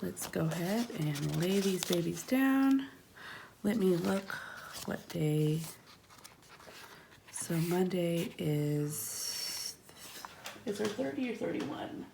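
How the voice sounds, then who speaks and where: A woman in her thirties chatting casually at home while placing planner stickers, rambling and sometimes murmuring quietly to herself. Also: fairly low pitch, lively intonation, takes it slowly, vocal fry, pauses often, background noise. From California. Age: 30s. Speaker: planner vlogger. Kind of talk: casual plan-with-me narration. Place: at home, tabletop.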